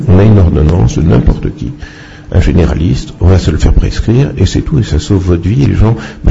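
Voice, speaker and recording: A man speaking off the cuff in a halting, opinionated way as a doctor answering questions at a public talk. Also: deep voice, gravelly, dark tone. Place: public meeting with microphone. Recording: muffled, noisy public-event recording.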